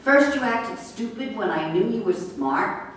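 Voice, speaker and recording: Very roomy distant recording, woman acting sharp-tongued female horse trainer, sarcastic, wounded, confrontational stage drama delivery. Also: a little high, animated, measured pace. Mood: angry, scornful. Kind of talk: staged play reading. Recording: very echoey room, distant mic, faint noise.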